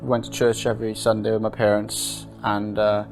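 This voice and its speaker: A man in his twenties, interviewed about his life, speaks in a calm, unhurried way with frequent hesitations. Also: fairly low pitch, music in the background. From Wales and Norway. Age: 20s. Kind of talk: casual personal testimony.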